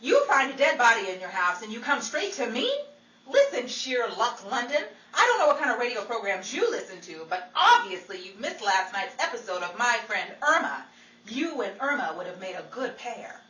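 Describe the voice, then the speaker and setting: A woman's voice: fairly high pitch, big pitch swings, normal speed, pushed and bright. A woman playing a sassy, easily scandalized lady in a 1940s-style radio comedy, with theatrical, exclamatory delivery. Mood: scolding. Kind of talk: 1940s old-time radio comedy acting. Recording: echoey, distant, noisy live room with crowd.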